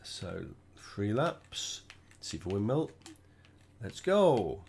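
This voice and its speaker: A man with a cold, sounding croaky and stuffed up, chatting casually through his own racing gameplay. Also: on the low side, extremely slow, a little hiss or hum. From United Kingdom. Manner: relaxed, chatty, thinking aloud while driving. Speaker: gaming channel host.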